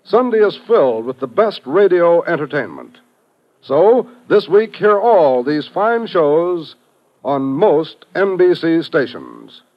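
An American man as a vintage radio announcer. He is dramatic and polished, with a fairly high, very animated voice on a muffled old broadcast. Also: takes it slowly. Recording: dull vintage radio recording.

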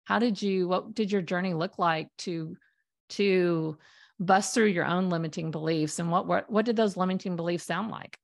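A woman hosts an interview show in an eager, gushing way, with many fillers along the way. Her voice sits fairly low and has a gravelly, creaky edge to it. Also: normal speed. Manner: enthusiastic, effusive, many fillers. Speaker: podcast host, storytelling coach. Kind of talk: conversational interview hosting.

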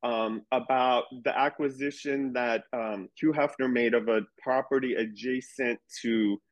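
A man's voice: medium pitch, fairly even intonation, a little slow, low-quality recording. A man talking candidly and animatedly in a casual podcast conversation, swearing now and then as he explains his views. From grew up in a Persian community. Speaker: reality TV star guest.

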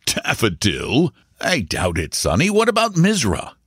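Man playing a jovial, teasing uncle, very animated. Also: mid-range voice, unhurried, slightly rough, no echo, clean studio sound, voice pushed up, heavily acted. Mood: amused. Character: amused, indulgent adult uncle, hearty gentleman. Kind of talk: audiobook character dialogue.

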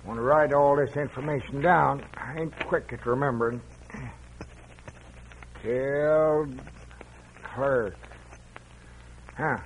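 An actor playing a slow-witted, deliberate, folksy sheriff with an American Western accent in an old-time radio western. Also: male voice, mid-range voice. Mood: plodding. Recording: dull old radio, faint noise.

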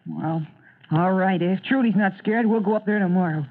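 An actor playing an earnest, anxious schoolboy in an old-time radio drama, speaking haltingly. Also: mid-range voice, natural voice. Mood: eager, uneasy. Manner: halting, hesitant. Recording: muffled old radio recording, narrow band.

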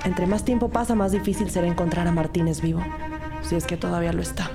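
A woman's voice: on the low side, level tone, fast, over music, lightly acted. A woman with a Latin American Spanish accent playing an earnest young trainee detective in a scripted crime audio drama. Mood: terse, serious. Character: young female trainee detective narrating her case, earnest and eager. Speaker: narrator and lead actor.

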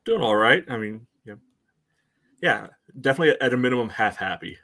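A man co-hosting a sports podcast, giving casual analysis in measured, halting phrases. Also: medium pitch, animated, sharp tone.

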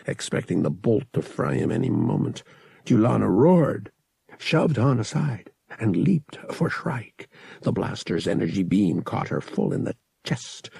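A man reading an audiobook as a dramatic, suspenseful story with expressive delivery. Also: fairly low pitch, gravelly, slightly rough, no room sound. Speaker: audiobook narrator.

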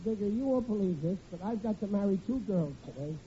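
An actor playing a hapless, blustery bridegroom in an old radio comedy, speaking in a flustered, colloquial way. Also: male voice, high-pitched, animated, quick pace, dark tone. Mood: glum, flustered. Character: hapless, panicky working-class bridegroom in trouble. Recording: muffled, hissy vintage radio recording.

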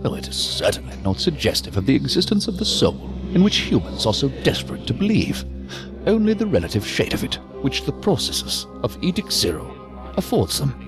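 An actor playing a coolly curious, ominous non-human entity in a science fiction audio drama, speaking in formal, grandiose musings. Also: male voice, mid-range voice, huge pitch swings, a little fast, music in the background. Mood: lofty.

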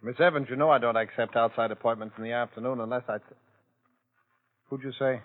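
An actor playing a nervous, evasive American husband, a scheming store manager in a 1940s radio drama. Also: male voice, mid-range voice, steady tone, a little fast, lightly acted. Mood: alarmed. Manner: hesitant, placating, evasive. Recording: tinny old radio broadcast.

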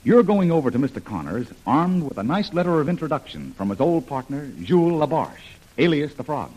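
An actor playing a brisk, commanding undercover team leader with a husky voice in an old radio adventure. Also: male voice, on the high side, very animated, a little mellow, low-quality recording. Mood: brisk, instructing.

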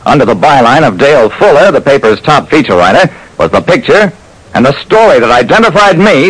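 A man's voice: mid-range voice, low-quality recording. A man playing a tough, wry private detective who narrates his cases in dramatic vintage radio style. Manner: wry, dramatic, clipped storytelling. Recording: old radio recording with faint noise.

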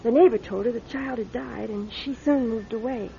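A woman's voice: a little high, moderate pace, vocal fry, warm tone. An actress playing a determined, manipulative young woman in a classic radio drama, with an intense, insistent delivery. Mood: measured, intent. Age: young adult. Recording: muffled radio recording with noticeable noise.

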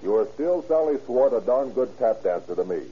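A man's voice: mid-range voice, animated, a little fast, dark tone, breathy edge. An actor playing a blunt, exasperated showbiz man with a slangy, no-nonsense delivery in old-time radio style. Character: blunt, exasperated vaudevillian turned screen lover. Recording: muffled vintage radio with faint noise.

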